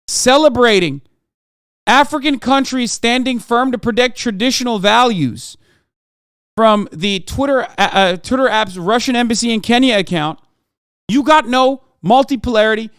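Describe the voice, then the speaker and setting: A man's voice: sits high, a bit bright, smooth, no room sound, studio quality. Emphatic, profane male streamer. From Turkey. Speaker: streamer reacting to videos. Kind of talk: heated reaction commentary.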